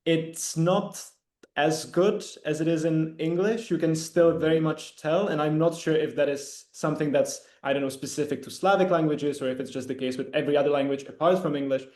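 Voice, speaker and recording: A young man, a PR consultant answering steadily on an online panel. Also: a little high. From Czech Republic. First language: Czech. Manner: steady, measured, some fillers. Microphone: video call. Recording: echoey room.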